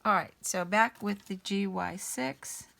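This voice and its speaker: A woman hosting a casual coloring session, a little raspy as she gets over an illness, thinking aloud in an unhurried way with many ums. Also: mid-range voice, a bit bright. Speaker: artist and channel host. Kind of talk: casual color-along chat.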